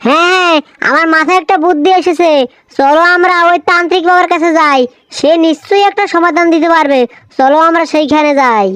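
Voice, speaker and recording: An insistent cartoon village wife, played by a woman, practical and decisive. Her voice is high-pitched, with a close, dry recording. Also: fairly even intonation, a little fast, a bit bright, no room sound. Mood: concerned, decisive. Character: assertive, practical village housewife. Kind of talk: Bengali cartoon voice acting.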